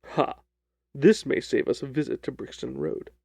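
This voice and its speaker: A man voicing a shrewd, self-assured gentleman detective with a measured, composed manner in a storybook reading. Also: medium pitch, huge pitch swings, gravelly, slightly rough, dry recording, exaggerated voice. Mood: quietly eager.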